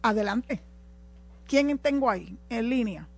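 A woman's voice: a little high, lively intonation, unhurried, darkish tone. An older woman with a Puerto Rican accent hosting a political call-in show in a direct, emphatic way. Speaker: radio call-in host.

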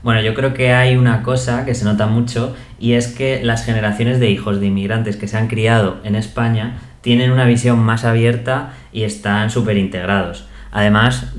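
A man's voice: fairly low pitch, reverberant. A man hosting a podcast, friendly and easygoing, asking questions and summing up what his guest says. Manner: friendly, asks questions and sums up. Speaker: podcast host. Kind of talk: casual podcast interview.

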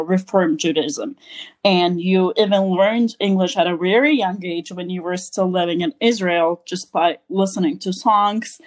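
A woman podcast host carefully reading out a long prepared interview question. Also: lowish voice.

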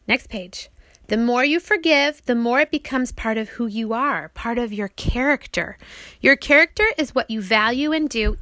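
A woman with a higher-than-average voice reads aloud as an author narrating her own audiobook. She puts things simply, as a parent would for young listeners. The sound is very dry, with next to no room echo. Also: fairly bright tone. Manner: explains simply, as to young children. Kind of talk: audiobook reading with questions to children.

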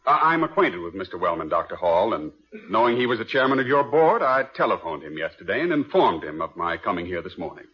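A man's voice: deep voice, big pitch swings, fast talker, breathy edge, full character voice. An actor playing a formal, fussy lawyer in 1950s radio comedy style, precise and stiff, hesitating as he opens. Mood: precise, measured. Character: formal, fussy male lawyer bearing complicated news. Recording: dull vintage radio recording.

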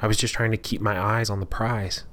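A man voicing an anguished, conflicted centuries-old demon with a quiet, deep, halting voice in an audio story. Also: steady tone, lightly acted. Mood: tearful, exhausted. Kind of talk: audio fiction dialogue.

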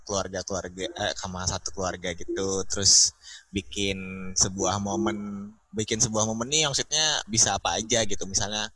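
A young man in casual chat, loose and slangy, pausing on fillers as he talks. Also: fairly low pitch, slightly rough, low-quality recording. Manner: relaxed slangy talk with fillers. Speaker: panel guest.

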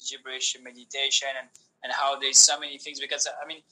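A man whose first language is Polish, talking as a podcast guest in an animated way, with many restarts and fillers. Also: medium pitch, quick pace, low-quality recording. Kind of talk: casual conversation.

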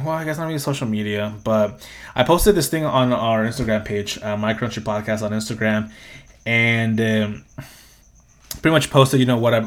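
A young man hosting a solo podcast, talking in a casual, rambling way, swearing freely and laughing often. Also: on the low side. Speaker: podcast host.

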